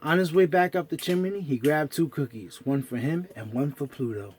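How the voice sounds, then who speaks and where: A man with a slightly high voice reads a children's story. He narrates expressively, as a storyteller does for young listeners. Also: moderate pace, a little mellow, a little hiss or hum.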